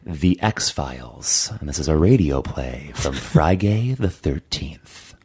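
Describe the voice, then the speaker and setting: A man's voice: extremely low pitch, animated, takes it slowly, darkish tone, slightly rough. A man co-hosting a podcast, chatting casually as he introduces a performance. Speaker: podcast co-host.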